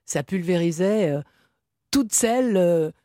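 Intervieweuse posée au phrasé soigné. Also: female voice, lowish voice, animated, a little slow, dark tone, no room sound, studio quality. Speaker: interviewer, host. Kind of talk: prepared radio-style interview questions.

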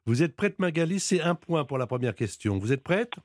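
A man hosting a chat and quiz show, speaking in a measured way and putting stress on each answer option. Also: a little high, big pitch swings, no echo, studio quality. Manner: measured, plays up quiz options with rising emphasis. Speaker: radio quiz show host. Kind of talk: hosted interview and quiz.

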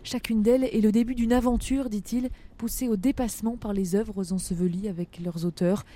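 Mellow-voiced woman reporter narrating. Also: mid-range voice, steady tone. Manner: measured, literary. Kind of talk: scripted narration.

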